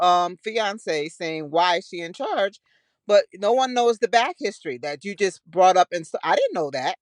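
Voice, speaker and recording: A woman's voice: lowish voice, no room sound. A woman with an American accent co-hosting a podcast, talking over her opinions in a casual, blunt and colloquial way. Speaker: podcast co-host.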